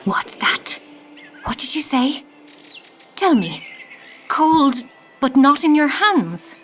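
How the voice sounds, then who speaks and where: Casting: cold, shrewd old queen, high, swooping delivery, brisk and haughty, children's radio play, birdsong ambience behind. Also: sing-song, a bit bright, a little hiss or hum, full character voice. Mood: probing. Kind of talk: children's audio drama acting.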